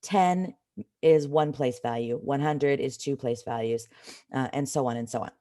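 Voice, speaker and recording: A woman with a slightly raspy voice from a cold, teaching an informal live online math lesson in a conversational way, stressing key points. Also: very deep voice, normal speed, warm tone. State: has a cold.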